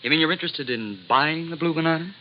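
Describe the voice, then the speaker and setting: A man's voice: on the high side, fast, soft background music, close to an everyday voice. A male actor playing a surly, nervous, grasping American of about thirty in an old radio drama, talking in a cagey, slangy way. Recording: dull old radio recording.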